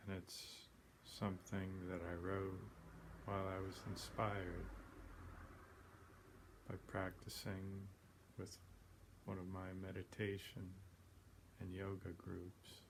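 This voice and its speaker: Slow, low-voiced man guiding meditation. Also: monotone. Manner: unhurried, plain-spoken, long pauses between phrases. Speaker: meditation guide. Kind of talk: guided meditation, spoken softly paced. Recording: clearly noisy background ambience.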